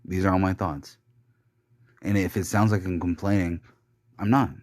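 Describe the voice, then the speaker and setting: A man's voice: low voice, steady tone, darkish tone, no echo, clean studio sound. A young man recording a confessional podcast monologue, bitter and rambling, swearing often and restarting his sentences. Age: young adult. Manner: halting, with many restarts and fillers.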